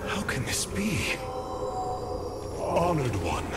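A man's voice: fairly high pitch, huge pitch swings, slow pace, full character voice. A man playing a noble, earnest alien leader in a game cinematic, formal and reverent. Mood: awed, reverent. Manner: formal, stately. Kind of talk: video game cinematic acting. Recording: loud game music behind voice.

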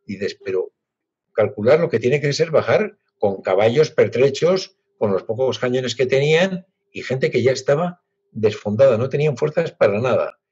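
Husky Spanish man on a video call. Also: medium pitch, expressive, darkish tone. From Spain, Basque Country. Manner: reflective, halting with frequent restarts. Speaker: guest author. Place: home library with bookshelves. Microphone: laptop webcam on video call. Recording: dull lo-fi video call audio.

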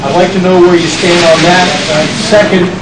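Guy asking his questions at a meeting, slightly high voice, echoey and far away. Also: steady tone, fairly quick. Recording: distant echoey recording with loud noise.

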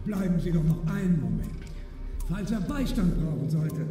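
Radio thriller antagonist: taunting squad leader, high voice, very dark tone, distant and reverberant. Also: male voice, expressive, moderate pace, soft background music. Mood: cool authority. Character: mocking male police squad leader, smoothly commanding. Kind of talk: German radio crime drama acting. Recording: very echoey, muffled, off from the mic.

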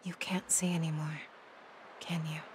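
Grave, low-voiced warrior general. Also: female voice, level tone, a little slow, background noise. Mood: sorrowful. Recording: game music behind.

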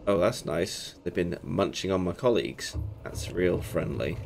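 A man's voice: low-pitched, expressive, unhurried, gravelly, background noise. A young British man hosting a casual game playthrough, chatty and rambling, a bit congested from a cold. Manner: chatty, rambling, self-correcting. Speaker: gaming channel host. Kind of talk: casual let's play commentary. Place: home gaming setup, indoors. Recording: game music playing under voice.

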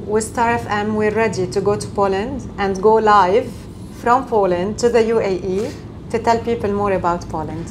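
A woman's voice: medium pitch, steady tone, moderate pace, slight background noise. A woman in her thirties, a radio interviewer asking questions with enthusiasm, recorded in an office by a camera at a distance. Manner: enthusiastic, repeats "amazing". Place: office, indoors.

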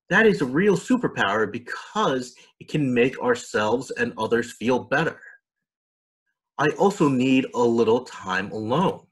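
A man's voice: mid-range voice, a bit bright, husky, full character voice. A man voicing a cheerful, caring young girl in a storybook, upbeat and earnest.

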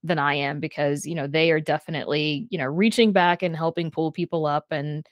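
A woman with a fairly low voice chats casually as a guest on a livestream. She is animated and informal, dropping in conversational fillers often. The sound is close and dry, from a video call. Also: brisk, no echo. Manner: animated, informal, peppered with "like" and "you know". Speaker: guest, writer and podcast creator. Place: small book-lined room indoors, on a video call.

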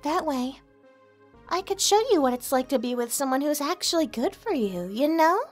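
A woman's voice: sits high, animated, normal speed, faint music behind. A woman playing a sweet, clingy, possessive young neighbor in love, coyly addressing the listener in an ASMR roleplay. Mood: lovestruck, possessive. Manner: coy, sugary, insinuating, hesitant.

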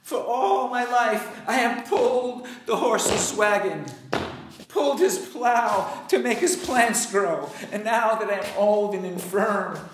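A man voicing an old, worn-out horse who speaks bitterly and loudly about a life of hard work. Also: sits high, slow, heavy reverb, noisy background.

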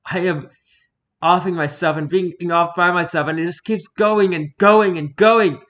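A man's voice: high voice, fairly even intonation, normal speed, fairly bright tone, voice pushed up. A man voicing an anguished, bleeding doctor who pleads desperately in a horror story reading. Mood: desperate pleading. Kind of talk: dramatic reading of horror fiction.

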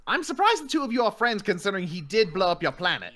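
A man's voice: high voice, sing-song, quick pace, pushed and bright, heavily acted. A man voicing a haughty, godlike male villain who sneers at mortals, refined and scornful, in fan gameplay voice acting. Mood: incredulous.

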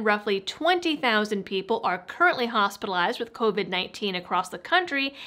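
A woman's voice: a little high, pushed and bright. A young adult woman delivering a scripted news rundown as host, with wry, informal asides. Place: small indoor studio setup.

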